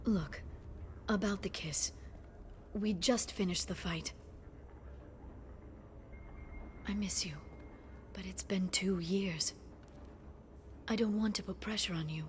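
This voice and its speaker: A woman playing a gentle, scholarly alien information broker in a video game, speaking softly and earnestly. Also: medium pitch, a little slow, pauses often, a little hiss or hum, close to an everyday voice. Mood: hesitant, tender.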